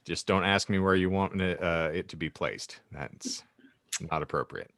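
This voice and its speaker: A man's voice: deep voice, normal speed, a bit bright, no room sound, clean studio sound. An American man hosting a video podcast in a jokey, wordy, playful mock-formal style. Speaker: podcast host.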